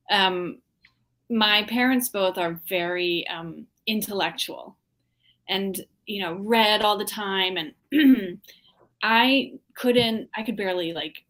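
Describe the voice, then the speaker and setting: A woman from the Washington, D.C. area, an artist guest in a casual interview, talking reflectively with many ums and pauses. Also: fairly low pitch, fairly bright tone. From Washington, D.C. area, USA.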